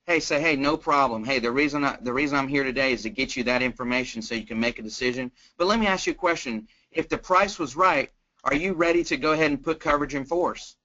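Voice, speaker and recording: Bright, forceful folksy salesman, dull call audio. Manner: plain-spoken, folksy, acts out sample pitches. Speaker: guest sales trainer on a conference call. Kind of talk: casual sales coaching. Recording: dull, lo-fi conference call line.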